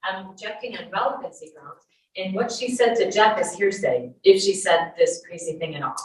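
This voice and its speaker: A woman's voice: mid-range voice, moderate pace. A woman working as a lawyer, stating objections during a court hearing. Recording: echoey courtroom, far from mic.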